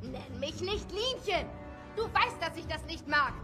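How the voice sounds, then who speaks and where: Woman as a nagging young lady, high voice, sarcastic, sharp-tongued, audio drama, music behind. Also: animated, a little slow. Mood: exasperated, sarcastic.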